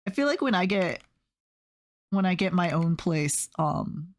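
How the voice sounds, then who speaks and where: Low-voiced woman chatting casually. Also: lively intonation, a little slow, a little hiss or hum. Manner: rambling storyteller with many fillers and soft laughs. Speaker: streamer. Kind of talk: casual chat while drawing on stream.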